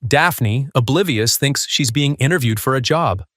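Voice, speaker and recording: A man's voice: mid-range voice, moderate pace, a bit bright, no room sound, studio quality. A man hosting a video countdown, reading a scripted narration.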